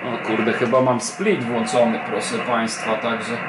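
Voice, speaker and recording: A man's voice: mid-range voice, level tone, measured pace. A man informally demonstrating an amateur radio, muttering mild swear words and calling out over the air. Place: indoor room at a desk beside a transceiver. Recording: roomy, noisy with radio static. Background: radio receiver noise and chatter.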